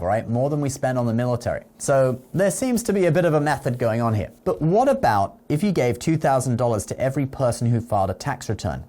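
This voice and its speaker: A man's voice: medium pitch, mellow. A man presenting online finance commentary in an explanatory way, often checking in with the listener with short tag questions. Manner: explanatory, checks in with "okay?" and "all right?". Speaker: finance news video host. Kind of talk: explainer commentary to camera with sponsor read.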